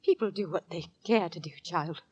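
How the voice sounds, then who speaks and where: A woman's voice: lowish voice, moderate pace, dark tone, breathy edge. An actress playing an anxious, stern middle-aged Victorian London landlady and mother, nervous and reproving, in 1940s radio drama style. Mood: uneasy, stern.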